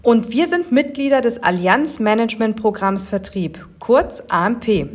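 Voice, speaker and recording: A woman in her forties from Germany, hosting a podcast and telling her career story in a clear, measured way. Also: fairly high pitch, lively intonation. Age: 40s. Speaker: podcast host. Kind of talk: scripted podcast narration.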